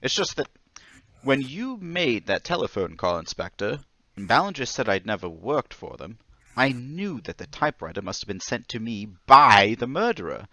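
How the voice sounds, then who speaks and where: A man playing a meek, polite and nervous murder suspect in an old-time radio mystery, hesitant and stammering but quietly stubborn. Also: mid-range voice, sing-song, moderate pace, dry recording. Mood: earnest, insistent.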